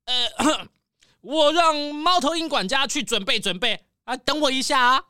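Woman acting a jittery, high-voiced squirrel tycoon. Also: lively intonation, a little slow, bright, forceful tone, no echo, full character voice. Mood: flustered, apologetic. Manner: dramatic, stammering, exclaiming with fright. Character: nervous, paranoid rich squirrel tycoon, male. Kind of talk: children's detective audio story acting.

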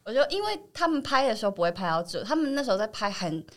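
A woman's voice: mid-range voice, sing-song, quick pace. A woman with a Taiwanese Mandarin accent, an actress guesting on a show and telling anecdotes in casual chat.

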